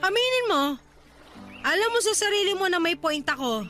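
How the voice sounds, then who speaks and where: A woman's voice: extremely high pitch, very animated, normal speed, sharp tone, soft background music, heavily acted. An actress playing a brash, ambitious young Filipino woman in a radio drama, sassy and dismissive, with a Filipino accent and English phrases mixed in. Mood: frustrated, insistent.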